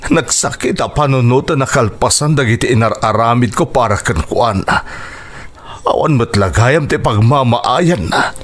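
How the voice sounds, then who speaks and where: A Filipino man with an Ilocano accent playing an anguished, protective middle-aged father in a radio drama, emotive and halting. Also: medium pitch, lively intonation, a bit bright. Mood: sorrowful.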